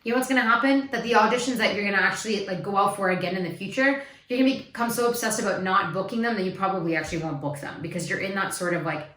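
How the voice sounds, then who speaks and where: Raspy young actress giving advice to camera, punchy and repeats stuff, roomy echo. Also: female voice, medium pitch, racing, hardly any pauses, fairly bright tone. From Canada. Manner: emphatic, repeats points for stress. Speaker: actor and video host. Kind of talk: advice vlog monologue. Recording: echoey room, faint music.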